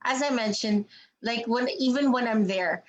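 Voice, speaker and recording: A woman's voice: on the high side, moderate pace, muffled recording. A woman from Canada hosting an online interview, talking in a chatty way with many ums and restarts. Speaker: interviewer, host.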